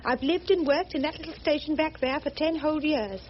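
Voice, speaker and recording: A woman's voice: high voice, fairly quick, lightly acted. An actress playing a prim, determined English missionary in her thirties, with Received Pronunciation and a formal, earnest manner, in a radio drama. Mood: earnest, indignant. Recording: muffled, noisy old radio drama recording.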